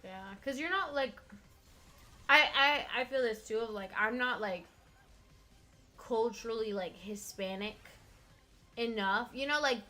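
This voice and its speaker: A woman's voice: a little high, unhurried, pauses often, bright, forceful tone. A young woman from Miami chatting casually as a co-host, leaning on "like" as she talks. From Miami, United States. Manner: chatty, heavy use of "like". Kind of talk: casual chat.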